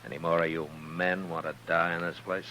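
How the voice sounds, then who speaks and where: An actor playing a stern, weary frontier marshal with an American accent, terse and commanding, in old-time radio style. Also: male voice, low voice, little pitch movement, a little fast, bright, forceful tone. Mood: menacing, firm. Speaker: actor in a western radio drama. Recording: noisy, humming vintage radio recording.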